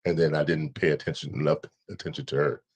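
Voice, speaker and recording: A man with an extremely low, deep voice talks casually as a podcast guest. He speaks haltingly, restarting and repeating words as he thinks aloud. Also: level tone, darkish tone, husky. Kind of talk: casual conversation.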